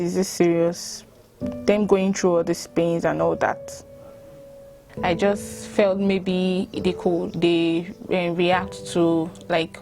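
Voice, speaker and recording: A woman with a Nigerian accent, reading accounts aloud and responding to them informally. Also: on the low side. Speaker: volunteer reader reacting to written accounts. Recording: music bed under the voice. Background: music.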